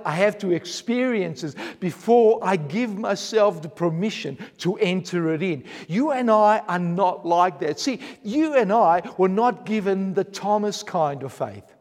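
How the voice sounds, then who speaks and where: A man's voice: high voice, darkish tone, in a large hall. An Australian man preaching a fervent sermon as pastor of his church. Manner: fervent, repetitive preaching cadence. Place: church hall.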